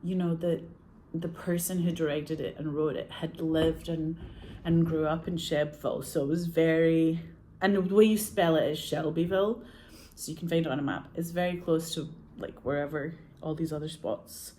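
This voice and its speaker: A woman's voice: deep voice, steady tone, moderate pace, hardly any pauses, warm tone, slight background noise. A Scottish woman telling stories in a rambling, chatty way, laughing often and saying "like" a lot. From Scotland. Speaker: actress and filmmaker. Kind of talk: casual chat.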